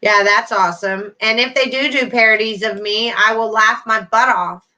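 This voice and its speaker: A woman's voice: fairly high pitch, fairly even intonation, moderate pace, bright, forceful tone, rough recording. A middle-aged woman hosting a casual livestream, chatting to viewers by name with endearments. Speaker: YouTube livestream host.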